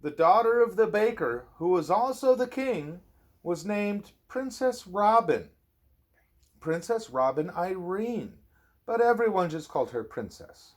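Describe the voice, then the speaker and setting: A man reading a storybook aloud to a child as a parent, expressively and with drawn-out phrases. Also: high voice, big pitch swings.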